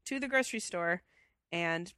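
A woman's voice: on the low side, lively intonation, normal speed, sharp tone, clean tone, no room sound, clean studio sound. A woman co-hosting a casual chat show, with a stuffy head cold and a chatty, enthusiastic way of talking. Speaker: podcast co-host.

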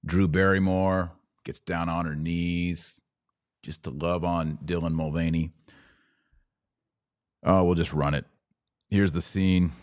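A man's voice: low voice, fairly even intonation, slow, pauses often, dry recording, clean studio sound. A man with an American accent giving live podcast commentary in a conversational way, posing pointed rhetorical questions. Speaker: podcast host.

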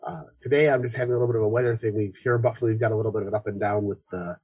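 A man's voice: fairly low pitch, fairly even intonation, darkish tone, slightly rough, no room sound. A man co-hosting a podcast in casual chat, stuffed up and nasal from a cold, chatty with plenty of fillers. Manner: quick, chatty, with many fillers. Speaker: podcast co-host.

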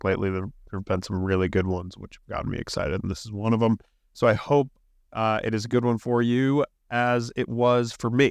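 A chatty male podcast host with a fairly low, smooth voice, excited and full of fillers. He is a bit sick and sniffles now and then. His voice sounds dry and close to the mic. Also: no echo. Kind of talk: casual podcast intro and interview. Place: office.

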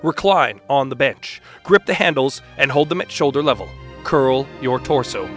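A man's voice: medium pitch, animated, moderate pace. A man reading scripted instructional text aloud as a staged dramatic reading. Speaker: announcer and reader. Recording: music behind the voice.

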